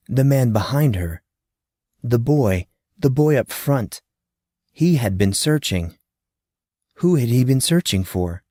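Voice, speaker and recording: A man's voice: medium pitch, warm tone, no echo, clean studio sound. A man narrating a fantasy story dramatically, in short, suspenseful phrases.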